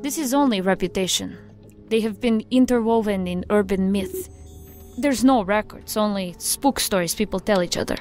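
An actress playing a brisk, skeptical, factual female agent in an audio drama. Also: mid-range voice, darkish tone, faint music behind, lightly acted.

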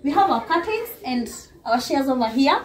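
A young Kenyan woman with a Kenyan accent gives a lively, laughing house tour, filmed on a handheld phone in a small apartment. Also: high voice, moderate pace, soft background music. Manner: lively, laughing often, talks straight to viewers. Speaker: vlogger giving a house tour. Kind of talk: casual chatty house tour mixing English and Swahili. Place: small apartment, indoors and on a balcony. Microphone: handheld phone camera.

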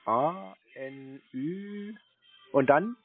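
A man's voice: fairly high pitch, drawn-out words, crowd noise. A man on a phone call who acts obtuse and confused, asking question after question. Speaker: scam-baiter playing a called customer. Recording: narrowband phone line.

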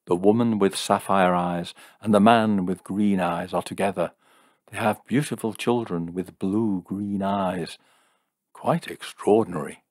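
A man's voice: low-pitched, steady tone, unhurried, a little mellow, dry recording, studio quality. A man voicing a solemn, mystical male seer in a story reading, making portentous pronouncements.

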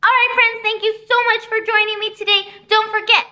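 Woman, children's librarian, very high voice, bright and lively, storytime to kids, webcam in a library room. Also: fairly quick. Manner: animated, sing-song teacher voice. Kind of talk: storytime reading and announcements for kids.

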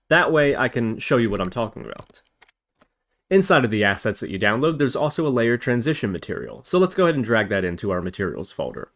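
Man narrating a tutorial with lively pitch. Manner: explanatory, step-by-step. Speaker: tutorial host. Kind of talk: software tutorial narration over screen recording.

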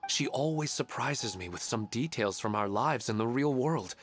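An actor playing a gentle, wistful husband and father in a video game, speaking earnestly and reflectively. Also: mid-range voice.

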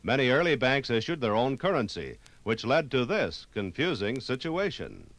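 A man narrating a scripted 1950s radio documentary in a measured, solemn storytelling manner. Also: medium pitch, big pitch swings, fairly bright tone, dry recording. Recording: 1950s vinyl radio recording, music underneath.

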